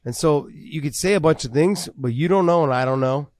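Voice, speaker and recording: A man co-hosting a casual MMA podcast, talking in an animated way and repeating words as he builds his points. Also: on the high side, brisk, no echo. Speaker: podcast co-host.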